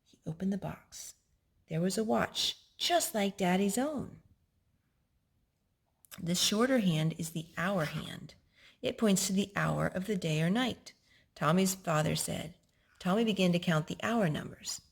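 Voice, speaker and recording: A woman with a husky voice that often dips into creak reads a picture book aloud for young children. She explains things in an instructive way, as a storytime host talking to a little child. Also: fairly low pitch, unhurried, long pauses.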